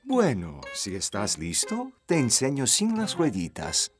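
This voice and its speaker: A man voicing a patient, encouraging father in a dubbed children's cartoon, speaking gently. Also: mid-range voice, wildly swooping pitch, a little slow, soft background music. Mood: concerned.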